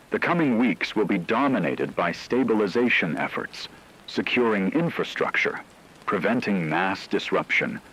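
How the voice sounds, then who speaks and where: A man playing a solemn radio news reporter, delivering a scripted crisis bulletin with husky, deliberate gravity. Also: on the low side, exaggerated voice, rough recording. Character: grave male radio newsman reporting a worldwide crisis.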